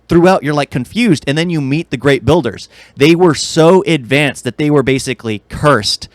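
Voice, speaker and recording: A man's voice: a little high, normal speed, no echo. A man co-hosting a podcast in casual chat, rambling with enthusiasm and strong opinions. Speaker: podcast co-host. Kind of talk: casual chat about video games and film.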